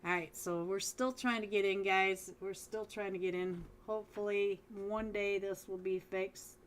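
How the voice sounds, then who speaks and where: A woman's voice: mid-range voice, fairly even intonation, measured pace. Chatty woman casting a mobile esports match. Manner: chatty, talks straight to viewers, frequent restarts. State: losing her voice. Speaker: esports caster. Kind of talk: live game commentary.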